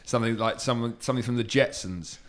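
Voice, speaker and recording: Male podcast host, casual chat, mid-pitched voice. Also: a little fast.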